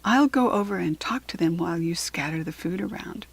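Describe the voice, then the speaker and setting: A woman's voice: lowish voice, animated, fairly quick, vocal fry, slightly rough, full character voice. An American woman voicing a friendly female head ranger in a storybook, cheerful and explanatory. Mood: explaining.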